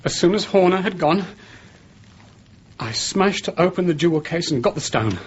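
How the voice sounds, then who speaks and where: A frightened Victorian hotel servant gone crooked, with a working-class English accent. His voice is high and swoops about as he whines and stammers in a servile way. The radio drama recording is muffled, with faint noise behind. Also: lively intonation, normal speed, slightly rough. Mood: shaky confessing. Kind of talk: British radio drama acting.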